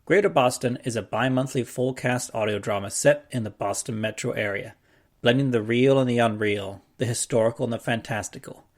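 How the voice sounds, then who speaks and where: A podcast host giving a plain, informative introduction to an episode. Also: male voice, mid-range voice, fairly quick. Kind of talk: scripted show introduction.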